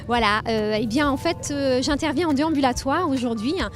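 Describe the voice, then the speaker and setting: A woman's voice: high-pitched, fairly quick, music in the background. A woman from Anjou who works as a face painter and children's entertainer, speaking informally in an interview. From Anjou, France.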